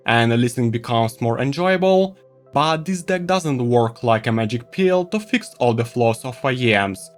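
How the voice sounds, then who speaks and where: A man who speaks English as a second language, presenting a semi-scripted product review to viewers in an expressive, enthusiastic way. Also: medium pitch. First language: not English. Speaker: tech reviewer and video host.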